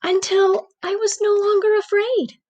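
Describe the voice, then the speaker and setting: A woman voicing a gentle, anxious but persevering egg who narrates a picture book, with deliberate, expressive pauses. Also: extremely high pitch, highs cut off, pitched above the performer's own voice. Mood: anxious, determined. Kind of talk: picture book read-aloud.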